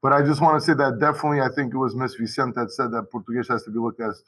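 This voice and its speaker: A man who teaches high school Portuguese, talking casually and at length on a video call from his school, with many restarts and asides. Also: little pitch movement, racing, a bit bright, poor audio.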